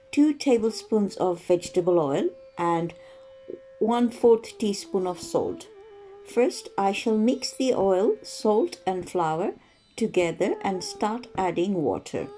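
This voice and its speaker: A woman from Bangladesh hosting a home cooking show, explaining each step slowly and carefully. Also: mid-range voice, a little mellow.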